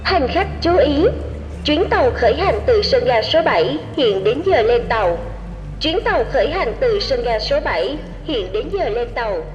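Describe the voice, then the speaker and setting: A woman playing a formal train station announcer making a public address announcement over an echoey loudspeaker. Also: fairly high pitch, very animated, normal speed, lo-fi sound, music in the background.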